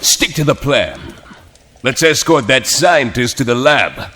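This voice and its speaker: An actor playing a commanding, sarcastic soldier who leads a squad in an animated action game. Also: male voice, medium pitch, unhurried, a little hiss or hum. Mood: commanding, tense. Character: gruff wisecracking male soldier squad leader. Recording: loud outdoor ambience and effects behind.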